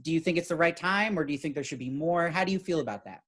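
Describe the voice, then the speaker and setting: A man's voice: fairly high pitch, fairly bright tone. Male interview host, eager and enthusiastic, video call. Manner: upbeat, enthusiastic, quick with praise. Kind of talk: casual interview chat.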